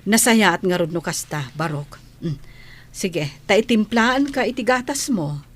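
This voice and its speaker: A woman's voice: medium pitch, expressive, unhurried. A woman with a Filipino accent playing a caring, affectionate nanny in a radio drama, fond and nurturing in manner.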